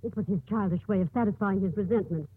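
An actress playing an earnest, devout nun in a 1950s radio drama, with a husky voice. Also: female voice, mid-range voice, fast talker, natural voice. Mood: urgent. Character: earnest, devout young nun, stubbornly convinced and pleading. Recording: muffled old 1950s radio broadcast.

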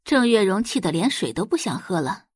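Female narrator, scripted storytelling, lively intonation, slightly husky, dry close recording. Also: mid-range voice, a little fast, no echo. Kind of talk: expressive scripted audiobook narration.

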